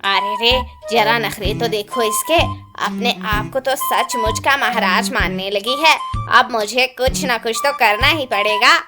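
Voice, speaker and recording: A woman's voice: a little high, a little slow, few pauses, over music, lightly acted. A woman voicing a put-upon, complaining male crow in a cartoon, full of exclamations. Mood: grumbling. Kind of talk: Hindi cartoon voice acting.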